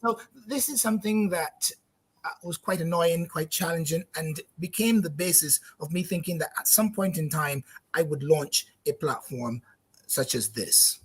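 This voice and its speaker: Husky Barbadian-accented founder, chatty, restarts phrases, studio guest. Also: male voice, a little high, moderate pace. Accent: light Barbadian. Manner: talkative, friendly, repeats words while thinking. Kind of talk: interview answers.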